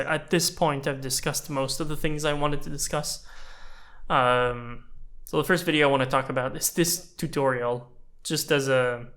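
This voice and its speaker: A man's voice: medium pitch, a bit bright. A man in his twenties whose first language is French, casually and thoughtfully looking back on his channel with chatty, self-deprecating asides. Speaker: YouTuber and animator. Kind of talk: casual reflective talk.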